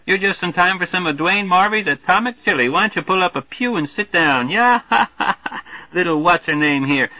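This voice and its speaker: A man reading an audiobook, voicing a jovial, loud, backslapping fat American army major who laughs heartily. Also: sits high, expressive, brisk, no room sound, voice pushed up. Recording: tinny phone-like band, dry.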